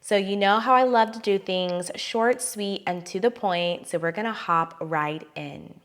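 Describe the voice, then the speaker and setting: A woman's voice: mid-range voice, fairly bright tone. A woman hosting a wellness podcast in a casual, chatty way, just back from losing her voice, laughing at herself as she talks. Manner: chatty, laughs at herself, drawn-out emphatic phrases. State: recovering from a lost voice. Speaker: podcast host.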